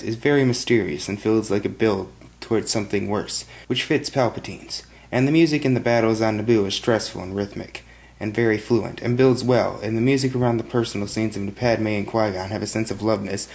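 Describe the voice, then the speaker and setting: Young man, monotone film review, low-ish voice, webcam in small bedroom. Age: young adult. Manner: flat monotone delivery. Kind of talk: read-out film review monologue. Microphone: webcam at desk.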